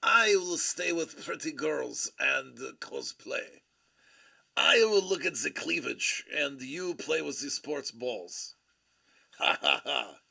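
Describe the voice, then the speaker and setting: A man's voice: a little high, lively intonation, creaky, pushed and bright, husky, heavily acted. A man putting on a smug mock-Russian nerd. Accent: mock Bulgarian-Russian. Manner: slow, clipped, broken-English boasting. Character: boastful nerdy man with a mock Russian accent.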